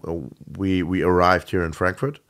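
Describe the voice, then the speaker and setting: Deep-voiced man, halting with fillers. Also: level tone, a bit bright. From Iran. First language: Armenian. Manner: hesitant, many fillers and restarts. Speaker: guest. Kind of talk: conversational interview.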